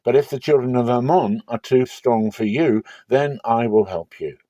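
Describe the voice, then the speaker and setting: A man's voice: mid-range voice, normal speed, mellow, no room sound, lightly acted. A man voicing a resolute army commander urging courage in a dramatic scripture reading, earnest and emphatic.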